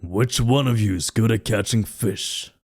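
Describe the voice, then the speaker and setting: A man playing a solemn, commanding king in a fantasy audio drama, speaking in a formal, measured, authoritative way. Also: on the low side, darkish tone.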